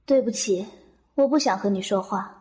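A woman's voice: mid-range voice, moderate pace, darkish tone, natural voice. A woman playing a proud young female angel, curt and defiant, in an audio drama. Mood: cold. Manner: cold and curt, flaring sharply. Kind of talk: Chinese audio drama acting.

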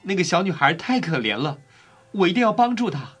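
A compassionate young prince from a storybook drama, acted by a man, speaks in a fairly high, very expressive voice. He is earnest and tender, pleading with quiet sorrow. Faint music plays underneath. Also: a little fast, breathy edge, exaggerated voice. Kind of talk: dramatised fairy-tale storybook acting.

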